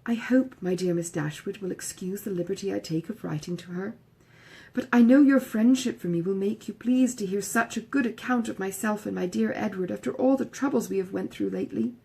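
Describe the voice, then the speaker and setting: A woman's voice: mid-range voice, fairly quick, creaky, dark tone, husky. A woman voicing an ingratiating, self-satisfied young woman's letter in an audiobook, effusive and earnest.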